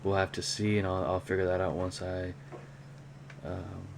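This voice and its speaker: Deep voiced guy doing a casual collector vlog, kinda rambly and halting, noisy recording. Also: monotone. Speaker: hobby vlogger, cassette collector. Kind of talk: casual unscripted show-and-tell vlog. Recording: clear background noise.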